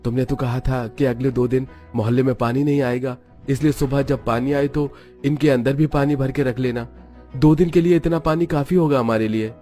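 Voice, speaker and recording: A man's voice: medium pitch, fairly even intonation, normal speed, dark tone, faint music behind, close to an everyday voice. A man voicing a mild working-class village husband in a cartoon story. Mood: explaining.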